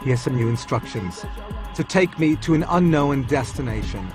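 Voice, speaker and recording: A man narrating a documentary in a measured, first-person scripted voice-over. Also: medium pitch, animated. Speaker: documentary presenter. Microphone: studio voice-over. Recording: over loud score music.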